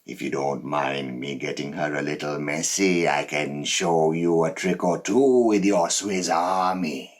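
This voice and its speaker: A man performing a dramatic monologue as a cold, boastful psychopathic killer, menacing and deliberate, with dramatic pauses and swells. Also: a bass voice. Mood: taunting. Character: cold, boastful male psychopath serial killer telling his story.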